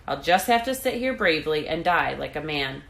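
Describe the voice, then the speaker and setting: A woman's voice: low voice, very animated, normal speed, vocal fry, a bit bright, pitched below the performer's own voice, full character voice. A woman voicing a small, earnest male mouse in a storybook, plucky but mournful, speaking politely and plaintively. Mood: resigned.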